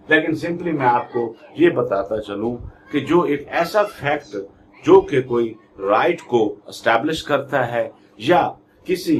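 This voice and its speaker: A man's voice: medium pitch, a little hiss or hum. A man with a Pakistani accent giving an explanatory law lecture, mixing Urdu and English in a didactic, word-by-word way. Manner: didactic, reads definitions out word by word then explains.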